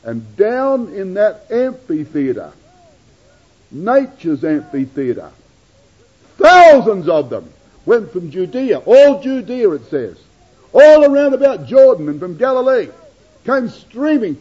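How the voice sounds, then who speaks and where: A man preaching in a high, animated voice that swoops and climbs through each phrase. His tone is husky, and the recording sounds dull and lo-fi. He addresses his listeners as brethren and sisters. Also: slow. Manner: dramatic rises and drops in pitch and loudness. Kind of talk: sermon.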